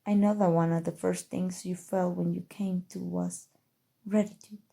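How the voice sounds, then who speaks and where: A woman playing a possessive evil sorceress in an ASMR roleplay, speaking softly and tenderly with a breathy voice that often drops to whispers. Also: on the low side, flat delivery. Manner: slow, soothing, deliberate, sinister affection. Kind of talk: ASMR roleplay, intimate scripted monologue.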